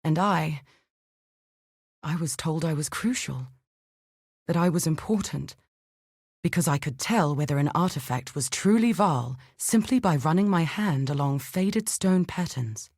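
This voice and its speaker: An actress playing an earnest, thoughtful scholar in exile in a video game, speaking in a measured, articulate and grave way. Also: female voice, deep voice, expressive, darkish tone, slightly rough, dry recording, studio quality, full character voice. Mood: regretful, somber. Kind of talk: scripted dramatic game dialogue.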